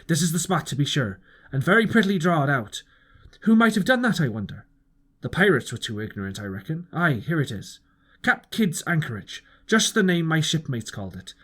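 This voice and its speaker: A man's voice: fairly high pitch, very animated, brisk, a bit bright, breathy edge, heavily acted. A man voicing a wily old one-legged pirate ship's cook in an audiobook, falsely jolly, with folksy sailor talk. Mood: deferential. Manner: folksy, rustic sailor's turns of phrase.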